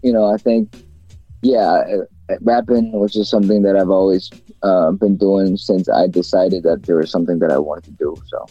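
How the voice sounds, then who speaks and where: Low, mellow-voiced young man rambling. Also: steady tone, moderate pace, husky, no echo, dull audio, soft background music. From China. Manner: rambling, many fillers and restarts. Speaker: guest rapper. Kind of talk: casual interview talk.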